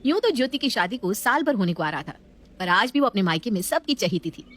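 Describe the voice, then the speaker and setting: A woman narrates a scripted domestic story at a quick clip. Her voice sits at an ordinary middle pitch for a woman as she tells the tale. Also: sing-song, a bit bright, slight background noise.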